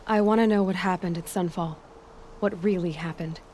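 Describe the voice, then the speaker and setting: Blunt young huntress, played by an actress. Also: female voice, on the low side, steady tone, normal speed, a little mellow, slight background noise, close to an everyday voice. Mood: earnest, insistent. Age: young adult. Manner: direct, guarded. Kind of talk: video game voice acting.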